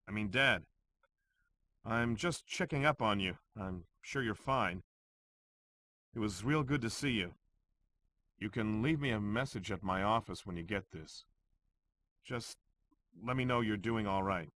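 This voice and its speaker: Gruff veteran agent man, understated and clipped, bright edge, dry game audio. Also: on the low side, no echo, heavily acted. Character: terse, gruff veteran male secret agent, awkwardly fatherly.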